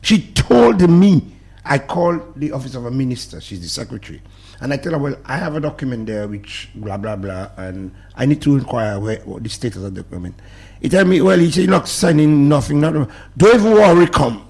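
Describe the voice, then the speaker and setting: A man with a Dominican accent, a guest on a talk-radio show, speaking in emphatic, animated bursts that drop into low mutters. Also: fairly quick, creaky. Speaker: studio guest. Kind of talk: passionate talk-radio discussion.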